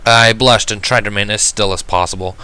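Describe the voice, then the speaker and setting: A man reading a first-person story aloud in an expressive narrating style. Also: on the low side, a little fast, clean tone, dry recording, poor audio. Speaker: fan fiction reader.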